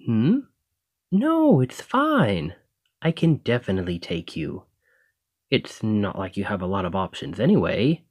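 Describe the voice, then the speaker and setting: Man playing a slow-talking, cheeky carriage driver. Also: lowish voice, huge pitch swings, a little mellow, breathy edge, no room sound, studio quality, exaggerated voice. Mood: coaxing, sheepish. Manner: casual, rambling, feigned awkwardness, insinuating. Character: chatty, cheeky stand-in carriage driver, slyly probing. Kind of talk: ASMR roleplay acting, addressing the listener.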